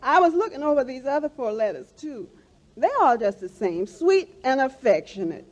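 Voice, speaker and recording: A sentimental, wounded wife from a vintage radio comedy. Her high voice swoops expressively through plaintive, folksy lines. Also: big pitch swings, normal speed, darkish tone. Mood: tearful longing. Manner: plaintive, emotional, folksy grammar. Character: hurt, sentimental wife of twenty years. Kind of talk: 1940s radio comedy acting.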